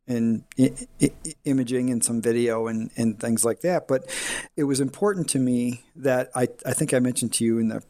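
Guy on a podcast, kinda flat voice, rambles and restarts a lot. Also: medium pitch, moderate pace, dry recording. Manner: rambling, restarts often, self-described flat voice. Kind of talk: casual conversation.

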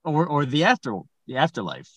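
Dryly bemused male podcast host, higher voice. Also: sing-song, fairly quick, a bit bright. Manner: hesitant, dryly bemused straight man. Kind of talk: casual comic interview.

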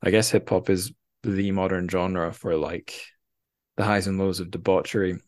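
Deep-voiced man chatting on a podcast. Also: steady tone, normal speed, a little mellow. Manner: rambling, self-deprecating, many fillers. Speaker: podcast host. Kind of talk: casual chat.

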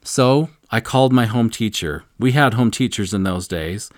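A man co-teaching a scripture lesson, earnest and measured, slowing down to stress key points and sharing stories from his own life. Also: lowish voice, dry recording, clean studio sound. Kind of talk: devotional teaching with personal stories.

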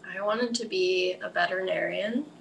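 Low creaky young woman, chatty and giggly. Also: moderate pace, a bit bright, highs cut off, slight background noise. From Milwaukee area, USA. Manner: chatty, giggly, says "like" often. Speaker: guest. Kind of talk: casual interview chat.

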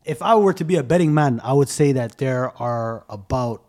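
A man's voice: mid-range voice, normal speed, darkish tone. A man in casual podcast chat, hedging his words with slang and fillers.

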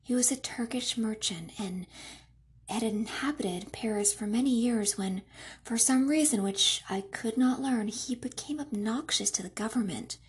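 A woman with a breathy, husky, asthmatic voice, hosting a podcast and reading aloud. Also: fairly high pitch, unhurried. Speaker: podcast host and book reader. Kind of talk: casual podcast intro, then audiobook-style reading of a classic novel.

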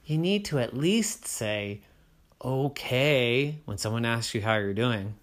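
A man's voice: medium pitch, lively intonation, slow. A man voicing a bossy but well-meaning big sister who scolds and coaxes her grumpy brother. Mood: lecturing. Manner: scolding, encouraging, insistent.

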